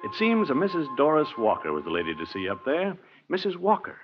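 An actor narrating as a wry, folksy storyteller in a 1940s radio drama. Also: male voice, fairly high pitch, huge pitch swings, a little fast. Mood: folksy, admiring. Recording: muffled vintage radio, music underneath. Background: music.

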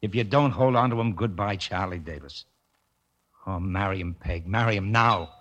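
An actor playing a loyal, worried sidekick to a boxer, earnest and pleading in 1950s radio drama style. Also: male voice, sits low, normal speed, fairly bright tone, lo-fi sound.

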